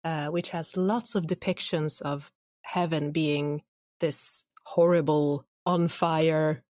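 A woman's voice: low voice, fairly even intonation, slow pace, darkish tone, no room sound, studio quality. A woman chatting casually as an interview guest, with a wry, playful manner. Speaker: audio drama creator, podcast guest.

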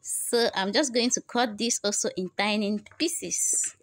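A woman's voice: mid-range voice, measured pace, slight background noise. A young woman making a home beauty vlog, chatting to viewers as she works, recorded on a phone with no microphone. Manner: chatty, repeats herself, addresses viewers as guys. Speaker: beauty vlogger. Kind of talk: casual how-to vlog. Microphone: phone camera, no microphone.

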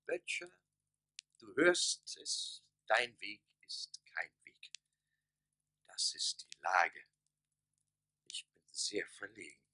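A flustered village mayor from a radio play, chairing his council. He speaks very slowly with a husky edge and wildly swinging pitch, hesitating theatrically. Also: big pitch swings, bright, forceful tone, full character voice, low-quality recording. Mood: embarrassed, hushed. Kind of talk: German radio play acting.

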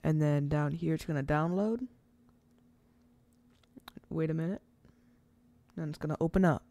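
A casual, rambling speaker hosting an informal step-by-step software tutorial, recorded close to the mic as a voice-over. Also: mid-range voice, measured pace, creaky, dry recording. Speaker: tutorial host.

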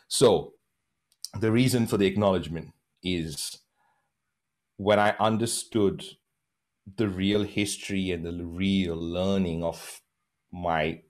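Deep-voiced man, earnest, laughs a lot, restarts sentences, video call from home. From Bahrain. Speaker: podcast guest. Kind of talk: reflective interview conversation.

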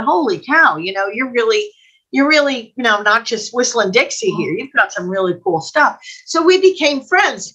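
Older woman, bright forceful voice. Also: a little high, low-quality recording. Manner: chatty, digressive, quotes people as she tells stories. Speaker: guest storyteller. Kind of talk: casual anecdotal storytelling in a video chat. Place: home room, indoors. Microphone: video call.

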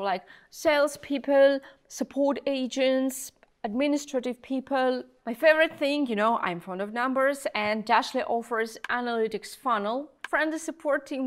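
A woman's voice: a little high, a bit bright. A young woman giving a chatty, enthusiastic product review to camera, with emphatic delivery. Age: young adult. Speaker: presenter of software review videos. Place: small indoor studio room at a desk. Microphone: lapel mic.

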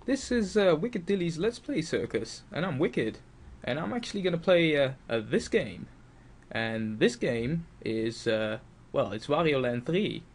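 Rambling Dutch-accented young gamer. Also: male voice, a little high, big pitch swings, unhurried. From Netherlands. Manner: rambling, self-mocking, many fillers. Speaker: let's play host. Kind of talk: casual game commentary. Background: video game music.

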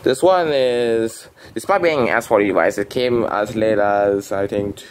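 A man's voice: on the low side, unhurried. A young man whose first language is Vietnamese, casually rambling through a show-and-tell with many restarts and fillers. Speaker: phone collector vlogger. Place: bedroom at home.

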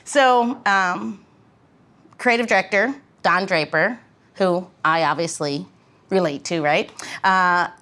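Woman podcast host, lowish voice, chatty and informal. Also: takes it slowly, a bit bright.

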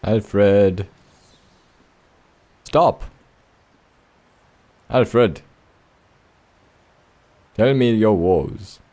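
Slow-talking, mellow-voiced man gaming. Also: on the low side. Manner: playful, joking, mutters reactions to the game. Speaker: Let's Play host. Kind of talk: casual video game commentary. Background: video game sounds.

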